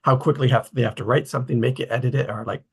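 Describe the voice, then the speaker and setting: Guy hosting a laid-back group talk, kinda tentative, medium pitch, chatty. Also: fairly even intonation, rapid-fire, creaky, slightly rough. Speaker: table read host. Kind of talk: casual group discussion.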